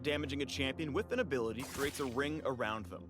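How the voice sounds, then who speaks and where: A man's voice: medium pitch, fast talker, over music. A man reading scripted game tutorial narration in a polished, explanatory promotional delivery. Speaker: narrator of a champion spotlight.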